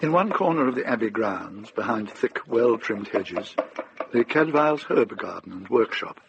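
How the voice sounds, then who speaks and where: A man narrating a radio drama in a deliberate, literary storytelling manner. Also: mid-range voice, animated, gravelly, highs cut off, background noise.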